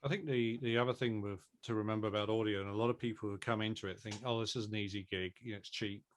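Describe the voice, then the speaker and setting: A man speaking as a producer in an interview, explaining his craft casually with deliberate, hesitant phrasing. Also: on the low side, steady tone, a little hiss or hum. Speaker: audio drama producer, interview guest.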